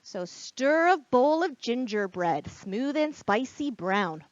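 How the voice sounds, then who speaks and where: A woman reading aloud at a children's storytime as a librarian, expressive and encouraging, speaking straight to young listeners. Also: a little high, slow, dry recording. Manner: expressive, gasps and whispers for drama. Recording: dull, lo-fi sound.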